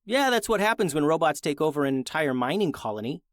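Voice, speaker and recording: A man's voice: on the high side, big pitch swings, fast talker. A combative young woman with a rifle and a sarcastic streak, put on by a male narrator. She mutters her lines in a kids' space adventure. The sound is dry, without room echo. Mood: sardonic.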